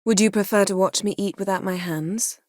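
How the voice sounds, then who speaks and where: An actress playing a proud, sharp-tongued sorceress in a fantasy video game, speaking in a haughty, sardonic, clipped and articulate way. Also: female voice, medium pitch, steady tone, brisk, no room sound, studio quality, natural voice. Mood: measured.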